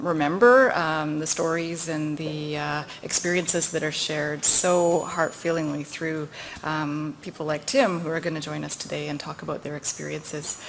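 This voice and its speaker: A woman clinician giving a halting, heartfelt introduction of a speaker in a lecture hall. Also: deep voice, a little hiss or hum. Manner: halting, many fillers, heartfelt.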